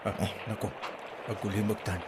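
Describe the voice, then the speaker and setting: A man's voice: on the low side, little pitch movement, a little fast, noisy background, close to an everyday voice. A man acting a grumbling elderly father in an Ilocano comedy drama.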